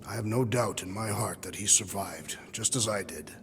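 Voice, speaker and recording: An actor playing a solemn, proud alien warrior in a video game cutscene, speaking formally and gravely. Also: male voice, lowish voice, moderate pace, long reverb tail, noisy background, natural voice. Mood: grim. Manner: formal, grave, literal-minded diction.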